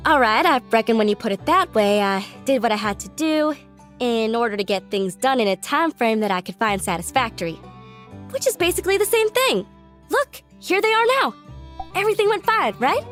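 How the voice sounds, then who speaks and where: Brash high-voiced Southern country gal. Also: female voice, big pitch swings, fairly quick, a bit bright, soft background music. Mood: defensive. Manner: folksy, drawling, brash. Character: brash folksy young country gal, excitable amateur detective.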